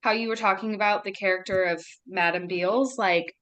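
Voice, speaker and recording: A woman with a mid-pitched voice chats on a video call. She is enthusiastic and conversational, giving her view in a discussion among actors. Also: fairly even intonation, normal speed, fairly bright tone. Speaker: actor in a table read discussion. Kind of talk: casual group discussion.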